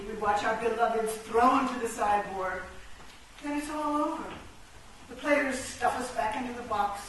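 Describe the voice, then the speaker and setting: A woman's voice: fairly high pitch, natural voice. An actress playing a grieving, proud and fiery chess queen in a radio play, with a theatrical, emphatic delivery. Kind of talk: stage radio-play acting. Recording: echoey hall, distant mic, background noise.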